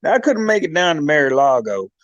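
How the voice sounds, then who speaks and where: Guy doing a loudmouth caller, pompous and cussing, a bit high. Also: sing-song, fast talker, dry recording, lo-fi sound. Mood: gushing. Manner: drawling, profane, pompous ranting.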